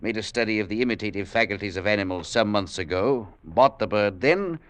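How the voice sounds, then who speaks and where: An actor playing a brusque, coldly logical, misanthropic professor in an old-time radio drama, with clipped, imperious delivery. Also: male voice, on the low side, lively intonation, a little fast, clean tone, no room sound, close to an everyday voice. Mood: irritable. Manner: clipped, blunt, imperious, dismissive.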